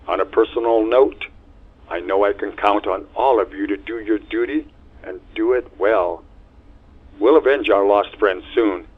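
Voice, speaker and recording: A man voicing a stern male starship captain making an announcement to the crew over the ship's intercom. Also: fairly low pitch. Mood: warm. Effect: ship intercom filter. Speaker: ship captain. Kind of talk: fan audio drama acting. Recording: tinny intercom filtered.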